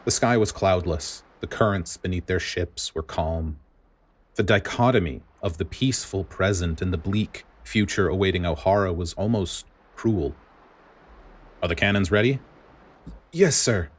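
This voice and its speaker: A man reading a story aloud as dramatic scripted narration, in a grave, deliberate manner. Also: low voice. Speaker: podfic reader.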